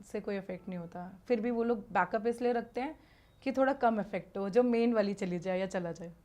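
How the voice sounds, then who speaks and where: A woman guest on a conversational podcast, her voice sitting in an ordinary middle pitch. She talks in a casual, opinionated way, leaning on repeated words and friendly asides to drive her points home. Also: a little fast, mellow, dull audio. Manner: emphatic, repeats words for stress, frequent "yaar".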